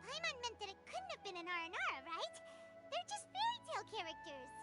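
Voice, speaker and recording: A woman voicing a tiny excitable fairy companion in a video game, squeaky, childlike and perky. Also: quick pace, over music. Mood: flustered.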